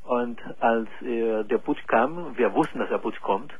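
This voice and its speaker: A man in his forties from Chile, calling in to a radio show and speaking haltingly with many pauses and fillers. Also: mid-range voice, slightly rough, phone-quality sound. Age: 40s. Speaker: caller. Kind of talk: call-in radio conversation.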